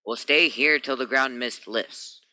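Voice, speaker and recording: An actor playing a firm spaceship captain in a science fiction radio play, giving orders with authority. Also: male voice, mid-range voice, unhurried, bright, forceful tone. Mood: tense, cautious.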